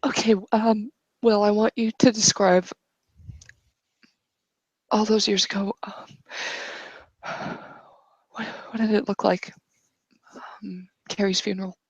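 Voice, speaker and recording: A woman game master improvising narration for a tabletop roleplay, halting yet directive. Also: mid-range voice, little pitch movement, breathy edge, no room sound, dull audio.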